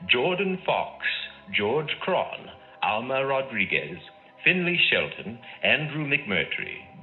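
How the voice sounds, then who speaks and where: Theatrical male announcer, tinny phone-like sound. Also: medium pitch. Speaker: podcast credits announcer. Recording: thin phone-like band over music.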